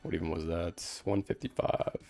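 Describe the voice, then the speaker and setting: A man's voice: low-pitched, flat delivery, moderate pace, vocal fry, darkish tone, soft background music. A young man streaming a game, giving easygoing commentary full of "like" fillers and answering chat questions. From Canada. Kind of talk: casual gameplay commentary.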